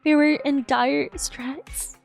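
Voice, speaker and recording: Very high-pitched, emotional woman streamer. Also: fairly even intonation, slow pace, gravelly. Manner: emotional, exclamatory, casually profane. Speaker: streamer reacting to a game. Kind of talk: excitable live game reaction. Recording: game music behind. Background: game music.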